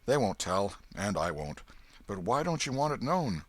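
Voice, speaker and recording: A man's voice: lowish voice, sing-song, normal speed, a bit bright. A man voicing a kindly, hearty old man in an audiobook, with a folksy, emphatic delivery. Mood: reassuring, curious. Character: hearty, kindly old Welshman, hospitable father of grown sons. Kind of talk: audiobook character voice.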